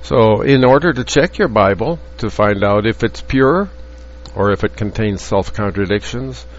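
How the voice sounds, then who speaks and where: A man teaching from the Bible in an earnest, halting way, with frequent restarts and fillers. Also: fairly low pitch. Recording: dull lo-fi audio with faint hum.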